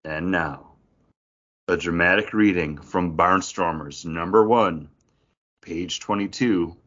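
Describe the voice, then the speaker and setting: A man's voice: sits low, slow pace, a bit bright, lo-fi sound. A man co-hosting a podcast, chatting casually about comic books. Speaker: podcast co-host. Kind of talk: casual comic book chat.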